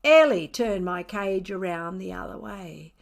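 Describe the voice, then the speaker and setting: A woman reading a children's story aloud in an expressive, scripted narration. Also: mid-range voice, unhurried, creaky.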